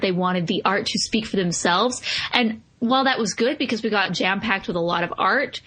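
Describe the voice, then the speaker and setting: A woman's voice: medium pitch, fairly bright tone, poor audio. A woman podcast co-host in a casual analytical discussion, explaining her opinions reflectively. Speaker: podcast co-host reviewing films.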